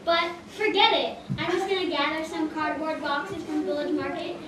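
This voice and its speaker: A woman's voice: sits high, steady tone, moderate pace, natural voice. A woman voicing a peppy, impatient schoolgirl cheerleader puppet, gushing in teen slang in a puppet show. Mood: excited, impatient. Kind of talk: children's puppet show acting. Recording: echoey hall, distant mic, noisy.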